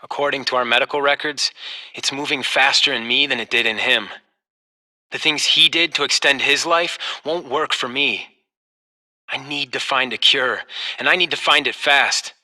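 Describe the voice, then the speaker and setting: An actor playing a proud, embittered young heir to a corporation in a video game, polished and intense. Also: male voice, mid-range voice, normal speed, sharp tone. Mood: grim, determined.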